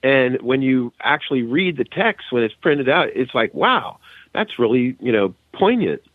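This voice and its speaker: A man's voice: medium pitch, sing-song, unhurried, no echo. A middle-aged man chatting casually as a podcast guest, often checking in with the listener as he goes. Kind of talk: casual chat. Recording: narrow, phone-like call line.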